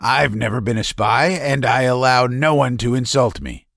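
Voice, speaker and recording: A man's voice: medium pitch, animated, normal speed, vocal fry, no echo, studio quality, pitched above the performer's own voice. A man reading aloud, voicing an emphatic, blustering middle-aged doctor. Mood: indignant. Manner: emphatic, then shaky and soft.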